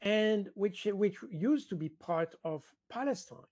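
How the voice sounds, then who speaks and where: A man giving analysis as an interview guest, halting as he searches for words. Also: sits high, lively intonation, darkish tone.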